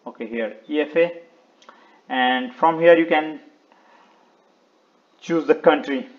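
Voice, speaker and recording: A man presenting an unscripted step-by-step website walkthrough in short, halting instructional phrases, often repeating words. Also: a little high, highs cut off. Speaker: video tutorial presenter.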